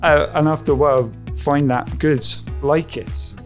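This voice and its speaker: A man's voice: a little high, fairly even intonation, measured pace, soft background music. A man working as a pastor, answering interview questions thoughtfully and hesitantly, with plenty of fillers and restarts. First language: English. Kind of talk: conversational interview.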